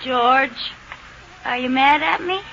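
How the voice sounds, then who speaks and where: An actress playing a scatterbrained, wheedling housewife in an old-time radio comedy, exclaiming and pleading. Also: female voice, sits high, slow, pushed and bright, highs cut off, exaggerated voice. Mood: coaxing. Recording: very noisy vintage radio recording.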